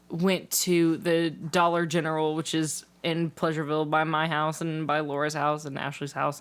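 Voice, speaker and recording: A woman hosting a casual interview podcast, with a playful, teasing way of talking. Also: lowish voice. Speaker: podcast host.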